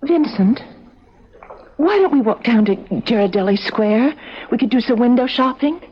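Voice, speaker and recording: An actress playing a concerned, gentle woman in an old-time radio drama, speaking earnestly and emotionally, sometimes hesitantly. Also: a little high, lively intonation, normal speed, husky. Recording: dull lo-fi vintage radio broadcast.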